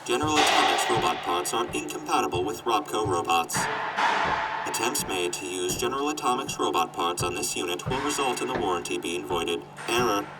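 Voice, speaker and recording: A man playing a sardonic robot in a sci-fi audio drama, reciting formally and deadpan. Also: fairly low pitch, brisk, natural voice. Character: sardonic, resentful male robot reciting warnings. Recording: distant voice under loud music.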